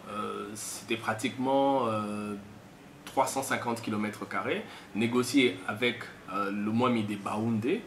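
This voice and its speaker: Male, Congolese accent, medium-pitched, off-the-cuff didactic explainer who repeats phrases; echoey room sound. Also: a bit bright. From DR Congo, Kasai region. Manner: didactic, step-by-step with repetition. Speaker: commentator on history. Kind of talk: unscripted explanatory talk. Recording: echoey room, faint background noise.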